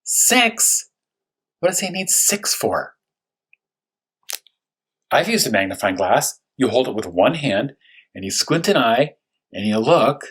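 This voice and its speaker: A man who teaches first grade, talking through a read-aloud story for young children, thinking aloud with questions and slowing to stress key words. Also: medium pitch, sing-song, fairly bright tone, husky.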